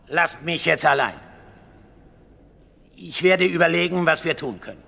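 A man's voice: on the high side, animated, a little slow, creaky, bright, forceful tone, in a large hall, full character voice. A man playing a troubled, commanding king in a dramatic radio play, imperious and urgent.

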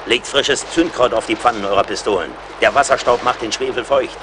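An actor in a German radio play as a seasoned, relentless frontier scout, sardonic, terse and loyal. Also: male voice, mid-range voice, a little fast, close to an everyday voice. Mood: commanding, combative. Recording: clear battle and outdoor noise behind.

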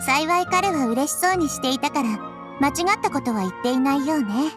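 A woman voicing a small, wise and curious girl deity in an anime game, speaking gently and thoughtfully. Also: high-pitched, over music, close to an everyday voice. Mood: reassured. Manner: gentle, thoughtful, measured feminine speech. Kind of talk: Japanese anime game voice acting.

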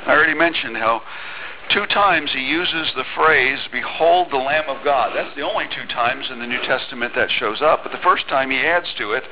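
A man's voice: mid-range voice, moderate pace, lo-fi sound, slight background noise. A middle-aged man preaching a sermon in a church on a headset mic, talking conversationally with small restarts and reading scripture aloud. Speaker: pastor. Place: church sanctuary.

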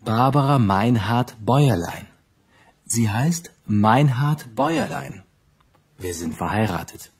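An actor playing a separated husband being interviewed, with a husky voice, for a textbook recording. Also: male voice, medium pitch, big pitch swings, slow, full character voice.

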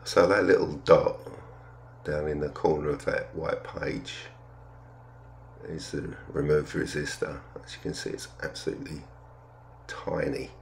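An older man talking casually through a hobby vlog, explaining things in understated, hesitant, piecemeal phrases with a gravelly voice. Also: very deep voice, fairly even intonation, measured pace, a little hiss or hum. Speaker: amateur radio hobbyist vlogger.

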